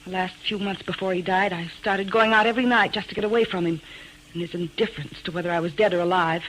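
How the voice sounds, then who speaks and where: Actress as a bitter, wounded widow. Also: female voice, lowish voice, steady tone, quick pace, fairly bright tone, slight background noise, lightly acted, rough recording. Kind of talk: 1940s radio acting. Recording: old radio drama recording.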